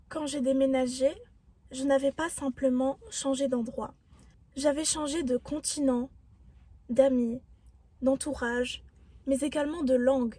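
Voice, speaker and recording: A woman's voice: high voice, dark tone. A young woman giving an earnest, encouraging self-help talk as a vlogger, reflective in tone.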